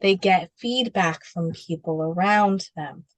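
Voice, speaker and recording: A woman's voice: fairly low pitch, slow, no echo, low-quality recording. A woman guest in a casual interview, talking animatedly with lots of fillers and playful asides. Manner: animated, heavy use of "like", jumps into playful quoted voices. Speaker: interview guest, spiritual business coach.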